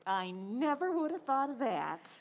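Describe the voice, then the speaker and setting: A high voice that leaps up and down dramatically. A woman plays an eager, slightly scatterbrained young housewife, the best friend in a vintage radio comedy. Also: sing-song, unhurried, muffled recording, full character voice. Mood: earnest. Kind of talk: old-time radio sitcom acting. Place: community theatre stage radio recreation.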